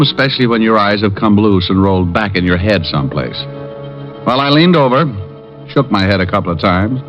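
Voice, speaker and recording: An actor playing a wisecracking private detective who narrates and trades dry, sardonic gags, in 1950s radio drama style. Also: male voice, on the low side, natural voice. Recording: lo-fi old radio broadcast with faint music.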